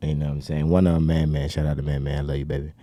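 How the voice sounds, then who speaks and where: Very deep-voiced man in his thirties. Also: level tone, rapid-fire, mellow, no echo. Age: 30s. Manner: earnest, preachy, talks in long winding runs. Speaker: podcast co-host. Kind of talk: casual podcast chat.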